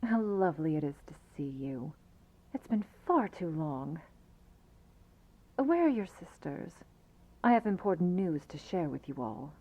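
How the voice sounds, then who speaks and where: A woman's voice: low-pitched, very animated, measured pace, pauses often, mellow, highs cut off, full character voice. Woman acting a haughty vampire villainess, theatrical and swooping. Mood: falsely sweet. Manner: theatrical, silky, condescending. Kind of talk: ASMR roleplay acting.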